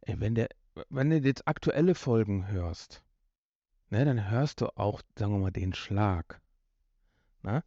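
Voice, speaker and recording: A man's voice: on the low side, lively intonation, a little slow, pauses often, warm tone, no room sound, dull audio. A man co-hosting a podcast, chatting casually and jokingly about an audio drama. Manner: joking, mimicking. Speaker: podcast co-host.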